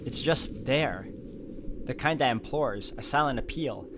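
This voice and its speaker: A man's voice: medium pitch, expressive, unhurried, background noise. A man hosting a gameplay video, reading visual novel narration aloud as a story.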